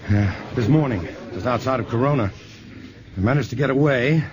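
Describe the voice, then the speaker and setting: A man's voice: mid-range voice, a little fast, muffled recording, a crowd in the background, close to an everyday voice. An actor playing an urgent, resolute male scientist in a 1950s radio drama. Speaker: physicist. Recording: old radio broadcast with hiss.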